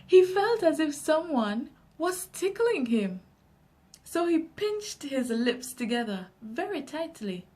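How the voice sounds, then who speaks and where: Slow, high-pitched Jamaican woman storyteller. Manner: expressive, deliberate storytelling for young listeners. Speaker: children's storyteller. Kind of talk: read-aloud children's story narration.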